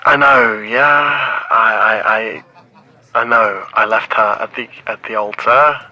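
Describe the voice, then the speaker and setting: A man playing a defensive, flustered man making excuses, loud and stammering, in a comic drama. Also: fairly low pitch, slow, muffled recording, full character voice.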